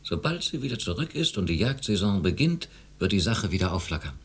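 Radio drama chief psychiatrist: lowish husky voice, self-righteous, authoritative, brushes objections aside. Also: male voice, very animated, brisk, heavily acted. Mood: stern, condescending. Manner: authoritative, dismissive, insistent.

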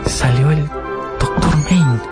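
An actor playing an earnest, lovestruck young man who narrates his past in a measured, literary radio drama style. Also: a little high. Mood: solemn narrating. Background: music.

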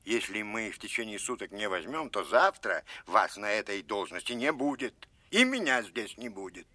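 A man's voice: fairly low pitch, bright, forceful tone, no echo, full character voice. A man acting a stern, commanding Soviet colonel general in a Russian audio drama, giving clipped, emphatic orders with authority.

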